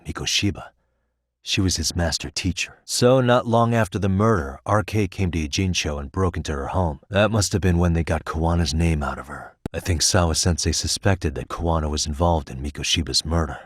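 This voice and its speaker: Deep-voiced, earnest detective. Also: male voice, husky, no room sound, studio quality. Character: earnest, tough male private detective, adult, principled and probing. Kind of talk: dramatic video game voice acting.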